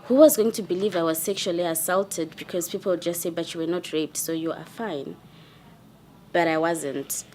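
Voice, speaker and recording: A woman in her early twenties speaks in a somewhat low voice. She is quiet and hesitant, and a faint hiss of background noise sits under her words. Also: moderate pace. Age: 20s. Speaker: interviewee. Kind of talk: personal testimony to camera.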